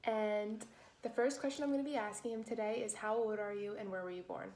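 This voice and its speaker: A woman's voice: mid-range voice, fairly even intonation, a little fast, darkish tone, echoey room, slight background noise. A woman interviewing her grandfather, reading her questions plainly. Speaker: student interviewer.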